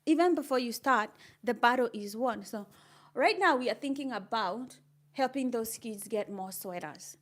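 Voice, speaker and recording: A woman's voice: on the high side, expressive, normal speed. Upbeat older Kenyan woman streaming. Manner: upbeat and encouraging, reads out chat comments, laughs often. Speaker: livestreamer. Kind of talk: casual live stream chat with viewers.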